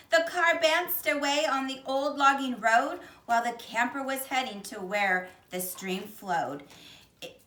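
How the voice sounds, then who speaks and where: A woman reading a children's picture book aloud in an expressive, deliberate way, with dramatic emphasis. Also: high-pitched, far from the mic. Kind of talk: animated children's storybook read-aloud. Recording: echoey, roomy sound.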